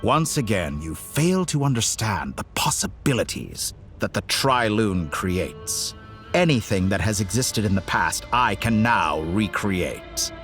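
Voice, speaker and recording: An urbane game villain with godlike powers, condescending and formally polite. His low voice swoops theatrically through each phrase, with faint music behind him. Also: big pitch swings, unhurried, a bit bright. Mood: smug, coaxing. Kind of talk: video game voice acting.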